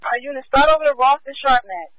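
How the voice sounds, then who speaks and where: A woman police dispatcher on the radio, briskly repeating locations and warnings to units. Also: high-pitched, expressive, fairly bright tone, poor audio.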